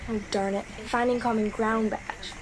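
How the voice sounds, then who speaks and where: A woman's voice: fairly high pitch, level tone, a little slow, lightly acted. A woman playing a plucky young scout girl who chats to herself in an audio drama. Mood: puzzled, mildly frustrated. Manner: chatty, thinking aloud. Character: plucky young scout girl working through her badges. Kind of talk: radio drama monologue. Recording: clearly noisy, ambient background noise.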